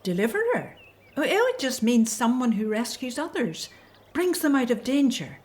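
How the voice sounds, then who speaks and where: A woman playing a gentle, faithful Hebrew mother in a biblical radio drama, with emotional delivery. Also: on the high side, very animated, normal speed. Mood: motherly. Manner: gentle, emotional, breathy sighs. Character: tender, faithful Hebrew mother, fearful for baby son.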